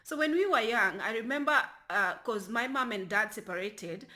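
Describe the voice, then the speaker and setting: Bright-voiced woman from Kenya chatting. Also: lively intonation, moderate pace. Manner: rambling, restarts often, lowers to a near whisper. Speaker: vlogger. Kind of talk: casual talking-to-camera vlog.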